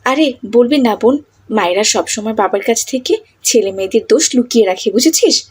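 A woman plays a scheming cartoon teenage girl with a high voice and slightly husky edge. She wheedles and brags breezily, like an older sister set on fame. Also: lively intonation, brisk, a little mellow. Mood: breezy, boastful.

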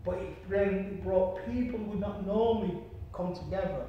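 High-voiced man, earnest, echoey room. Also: moderate pace, dull audio, slight background noise. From Britain. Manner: earnest, repetitive, checks in with "right?" and "you understand me?". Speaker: interview guest, martial artist. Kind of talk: reflective conversational storytelling. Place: small room, sitting on a sofa. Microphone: distant camera mic. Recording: very echoey room, mic far off.

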